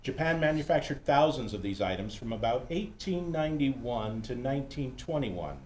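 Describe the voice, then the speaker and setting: Casting: male actor as fussy, affectionate arty sidekick in a radio mystery, theatrical, very animated delivery, noisy recording. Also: medium pitch, normal speed, close to an everyday voice. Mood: knowing, ornate. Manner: theatrical, ornate, coy endearments. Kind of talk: old-time detective radio acting. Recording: roomy, noisy live stage recording.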